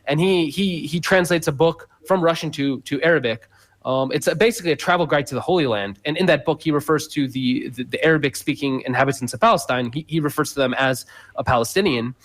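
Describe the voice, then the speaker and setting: A man speaking as a guest scholar in a radio interview, explaining at length with many fillers and restarts. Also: medium pitch.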